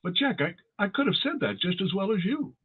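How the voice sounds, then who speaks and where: A man plays a good-natured, hefty announcer from 1940s radio comedy. His husky voice moves around a lot and comes through thin, phone-like sound. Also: high-pitched, big pitch swings, brisk, heavily acted. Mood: good-natured, hesitant. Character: Don Wilson, big good-natured male radio announcer. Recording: tinny, phone-like narrow sound.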